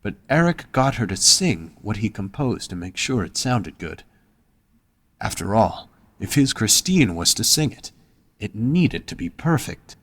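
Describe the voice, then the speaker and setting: Casting: male story narrator, lower register, dry recording, reading scripted prose. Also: big pitch swings, measured pace, husky, no echo.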